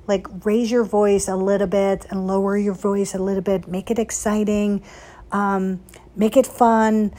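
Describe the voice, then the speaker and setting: A woman's voice: medium pitch, darkish tone. Chatty woman. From Netherlands. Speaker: boutique owner hosting a solo social media chat. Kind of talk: casual chat, personal storytelling.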